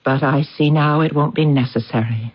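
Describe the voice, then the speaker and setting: An actress in a radio drama playing a worldly countess, polite, composed and deadpan in her menace. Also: low voice, normal speed, breathy edge, dry recording. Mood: cool, polite. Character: cool, worldly countess, calmly menacing mistress. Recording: dull vintage radio drama recording.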